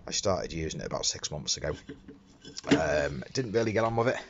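A man's voice: rumbling low, expressive, fairly quick. A middle-aged man giving a casual hands-on how-to talk as a tradesman, recorded on a handheld phone in a small enclosed work space. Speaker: window cleaner demonstrating a fitting. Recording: dull phone recording with background noise.

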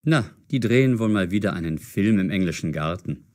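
Annoyed teen boy, lowish voice, animated melody, grumbling, audio drama. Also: brisk. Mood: puzzled, muttering. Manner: grumbling, exclamatory. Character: impatient, easily annoyed fifteen-year-old boy.

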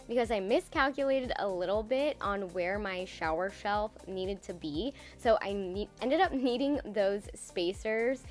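A woman's voice: mid-range voice, a little slow, hardly any pauses. Brief: young woman, eager DIY vlogger voice-over, faint music underneath. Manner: enthusiastic, upbeat and explanatory. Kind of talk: voice-over narration of a DIY project. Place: voice-over, not recorded in the pictured bathroom.

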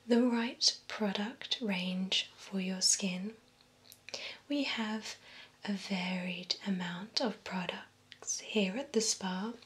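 Woman playing a slow whispering vampire beautician. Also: medium pitch, pushed and bright. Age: young adult. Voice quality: breathy whisper. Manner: slow, soothing, formal client care. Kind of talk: ASMR role-play, whispered personal attention. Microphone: close binaural microphone. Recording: close whisper over clear ambient noise.

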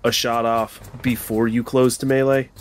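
Wry male gamer over clear music. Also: mid-range voice, level tone, moderate pace. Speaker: tabletop role-playing player. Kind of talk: casual game chat. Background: prominent background music.

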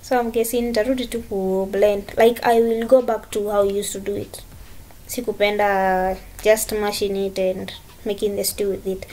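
A woman's voice: medium pitch, flat delivery, moderate pace, mellow. A young adult woman with a Kenyan accent, chatting casually as a vlogger. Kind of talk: casual vlog chat, mixing English and Swahili.

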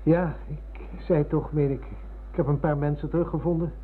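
Nostalgic middle-aged man, male actor, polite, earnest, slightly high husky voice. Radio drama, phone-like band. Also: steady tone, normal speed, a little hiss or hum, lightly acted. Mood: wistful, insistent. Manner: earnest, polite, dwelling on words. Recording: narrow phone-like old radio recording.

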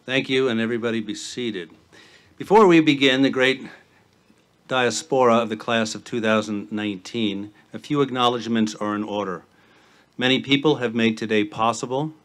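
Measured man giving a formal outdoor address. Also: medium pitch, fairly bright tone. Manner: measured, formal speech in rhythmic lists. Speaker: headmaster. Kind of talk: commencement address. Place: outdoor stage at a campus ceremony. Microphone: podium microphone with PA.

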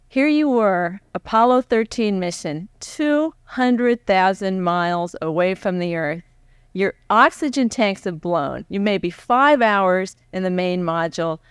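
Clear-voiced American woman interviewer. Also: mid-range voice, no room sound, clean studio sound. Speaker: professional interviewer. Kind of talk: conversational onstage interviewing. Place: stage before a live audience. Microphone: close microphone on a stand.

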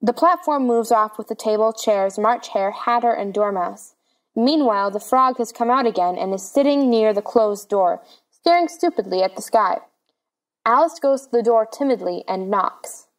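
A woman's voice: on the high side, lively intonation, normal speed, rough recording. A woman reading stage directions as the narrator, in scripted narration.

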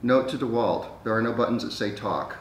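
A man's voice: mid-range voice, brisk. Dry, grumbling older man, echoey room. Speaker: vlog co-host. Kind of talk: casual chat while testing a gadget. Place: dining room at home. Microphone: camera microphone across the room. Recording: echoey room, distant mic, faint noise.

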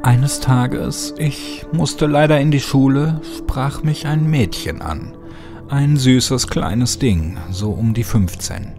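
A man reading a scripted first-person horror story dramatically, with brooding, deliberate phrasing. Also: medium pitch. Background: music.

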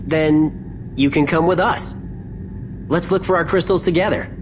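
An actor playing a friendly, earnest young warrior in a video game, eager and open. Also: male voice, on the high side, a little slow, natural voice. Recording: game audio over ambient noise.

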